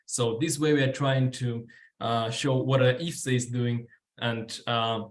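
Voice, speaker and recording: A man in his twenties with a Ukrainian accent, speaking informally and haltingly as a guest at a workshop. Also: mid-range voice, little pitch movement. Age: 20s. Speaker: guest speaker, student delegate. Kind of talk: informal workshop talk answering questions.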